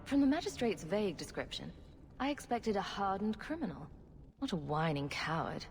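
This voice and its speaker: Sarcastic rogue heroine, lively intonation. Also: female voice, medium pitch, normal speed, big-room sound, faint music behind. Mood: blunt. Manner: dry, sarcastic, unimpressed. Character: sarcastic, wisecracking adult female rogue adventurer. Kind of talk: video game dramatic acting.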